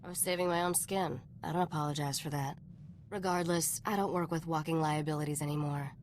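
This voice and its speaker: An actress voicing a blunt, self-serving woman smuggler in a video game, brisk and matter-of-fact. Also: low voice, fairly even intonation, darkish tone, a little hiss or hum, natural voice. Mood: defensive, blunt. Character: hard-bitten, self-serving woman smuggler partner, unapologetic.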